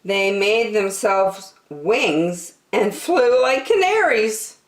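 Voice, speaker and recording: A woman reading a rhyming picture book aloud as a storytime host, expressive and theatrical, addressing young children. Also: medium pitch, very slow. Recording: roomy, echoing sound.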